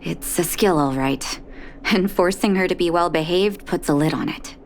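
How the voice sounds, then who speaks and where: An actress playing a weary, caring carer of children in a video game, measured and gently scolding, exasperated yet fond. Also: female voice, fairly low pitch, slight background noise, natural voice. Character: weary, caring adult woman, doctor and orphanage carer. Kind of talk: video game character acting.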